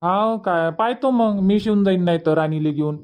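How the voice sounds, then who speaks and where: A man's voice: sits high, normal speed, a little mellow, clean tone, lightly acted. A man voicing an easygoing young village son in a cartoon comedy, chatty and colloquial, with a rural Vidarbha Marathi accent. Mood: casual, agreeable.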